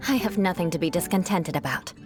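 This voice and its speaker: A woman voicing a proud young aristocratic knight, formal and measured, in a video game. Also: fairly low pitch, over loud music, natural voice. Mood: calm, resigned. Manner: haughty, formal, measured phrasing.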